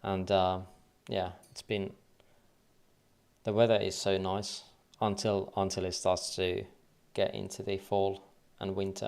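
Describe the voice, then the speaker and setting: A man's voice: low voice, gravelly, a little mellow, clear, resonant tone. A man from Finland with a light Finnish accent, thinking aloud and counting waves under his breath as he goes through charts on a casual trading livestream.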